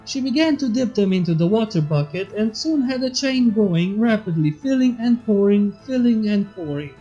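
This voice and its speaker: A woman's voice: medium pitch, animated, moderate pace, warm tone. A woman reading fan fiction aloud as a scripted story narrator. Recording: slightly muffled, faint music behind. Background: background music.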